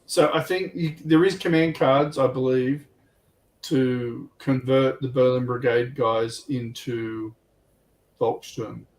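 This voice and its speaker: A man's voice: mid-range voice, steady tone, slow pace, darkish tone, poor audio. A man, co-hosting a casual chat about tabletop wargaming. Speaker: livestream co-host.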